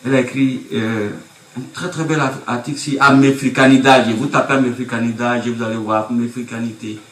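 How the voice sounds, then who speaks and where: A man's voice: medium pitch, fairly even intonation, a little fast, a bit bright. Middle-aged guy giving a conference talk, hammers his points with repeats, roomy echo, heard from across the room. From Côte d'Ivoire. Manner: emphatic, rhetorical, repeats key phrases. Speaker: university lecturer. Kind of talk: academic conference lecture. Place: conference room with projector screen. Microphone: table mic on stand, recorded by far camera. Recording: echoey room from far off.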